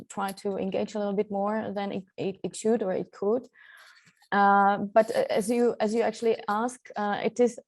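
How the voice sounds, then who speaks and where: A woman's voice: medium pitch, a little mellow. A woman from Central Europe speaking as a guest expert on a webinar panel over a video call, with many fillers and restarts.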